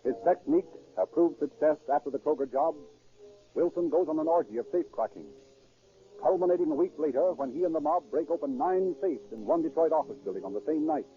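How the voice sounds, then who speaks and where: A man's voice: fairly high pitch, expressive, a little fast, faint music behind, lightly acted. A man acting as a theatrical, portentous narrator in a 1930s radio crime drama. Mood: dramatic. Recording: muffled 1930s radio recording.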